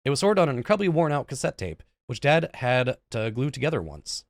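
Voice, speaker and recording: A man's voice: mid-range voice, very animated, fairly quick, no echo. A man in his thirties reading a visual novel aloud as a let's play host, in a dramatic storytelling way with casual asides and stumbles. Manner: dramatic storytelling read with stumbles and self-corrections. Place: indoor room at a gaming setup. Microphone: close desk microphone with headset. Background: game music.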